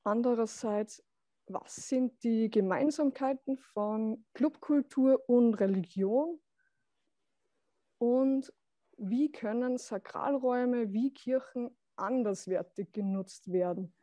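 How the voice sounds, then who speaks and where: A young woman presents a project over a video call. She speaks slowly and hesitantly, in a soft-edged, mellow voice. The sound is dry, with little room in it. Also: fairly high pitch, no room sound, muffled recording. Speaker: presenter of an art and culture project. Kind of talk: online presentation. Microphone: video call microphone.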